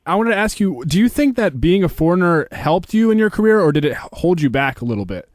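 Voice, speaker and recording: A man's voice: high voice, sing-song, quick pace, dry recording, studio quality. A man from Morocco co-hosting a radio show, joking and teasing. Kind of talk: casual interview.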